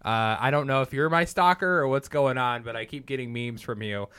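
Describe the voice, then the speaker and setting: A man's voice: mid-range voice, fairly quick, bright, forceful tone, smooth. A young man co-hosting a podcast, crude and joking, often laughing as he talks. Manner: crude, joking, laughs through his lines. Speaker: podcast co-host. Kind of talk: casual chat.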